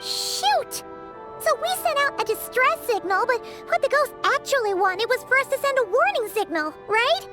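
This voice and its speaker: A woman voicing a small chirpy girl companion in a video game, asking quick, worried questions in a very high, squeaky voice. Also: big pitch swings, music in the background. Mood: dismayed.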